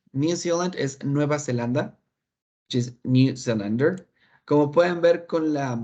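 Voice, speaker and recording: A man's voice: fairly high pitch, lo-fi sound. A man with a Mexican Spanish accent teaching an online English class, explaining patiently and often checking understanding. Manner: patient, repeats points with frequent "¿ok?" checks.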